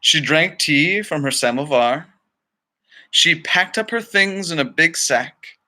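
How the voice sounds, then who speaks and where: Bright, animated man reading a storybook. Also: fairly high pitch, slow pace. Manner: animated, dramatic phrasing. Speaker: storybook reader. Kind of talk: expressive children's read-aloud narration with casual asides.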